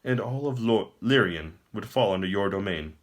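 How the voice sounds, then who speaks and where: A man voicing a gloating, smooth-talking wizard emperor in a storybook, theatrical, grandly formal and mockingly courteous. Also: fairly low pitch, animated, moderate pace, lightly acted. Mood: persuasive. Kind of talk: audiobook character acting.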